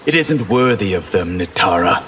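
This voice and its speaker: An actor playing a cool, disdainful water sorcerer warrior in a fighting video game. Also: male voice, deep voice, animated, moderate pace, long reverb tail, very noisy.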